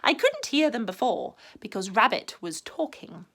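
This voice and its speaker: A Scottish woman voicing a gentle, hungry male teddy bear in a storybook, speaking slowly and mildly. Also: fairly high pitch, wildly swooping pitch, heavily acted. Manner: slow and mild.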